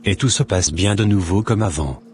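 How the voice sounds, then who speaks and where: Deep-voiced, earnest devout husband, acted by a man. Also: moderate pace, a little mellow, a little hiss or hum, natural voice.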